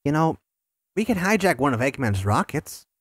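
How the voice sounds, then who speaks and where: A man plays a cocky, sarcastic young male hedgehog in a fan audio drama. His pitch swings wildly as he teases. The sound is dry and close. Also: big pitch swings, moderate pace, no room sound. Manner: sarcastic, playful.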